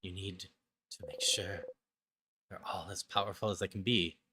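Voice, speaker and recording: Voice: low-pitched, fairly even intonation, voice pushed down, natural voice. Someone voicing a bitter, spiralling inner monologue, noisy recording. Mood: grim, resigned. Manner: intense, halting, repeats phrases obsessively. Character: despairing time-loop hero's obsessive inner monologue, spiralling, bitter. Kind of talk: dramatic game script voice-over.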